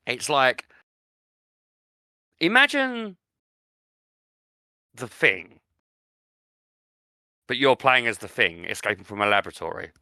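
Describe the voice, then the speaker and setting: A man's voice: mid-range voice, huge pitch swings, slow, pauses often, pushed and bright, clean tone, dry recording, studio quality. A British man chatting casually as a podcast host, enthusiastic and often repeating words for emphasis. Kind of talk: casual chat.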